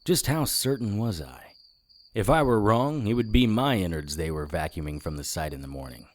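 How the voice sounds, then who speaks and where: A man playing a sardonic occult investigator, narrating a noir audio drama in the first person with a wry, deadpan manner. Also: on the low side, normal speed, no room sound, natural voice. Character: hard-boiled, sardonic male occult investigator. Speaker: narrator and lead character.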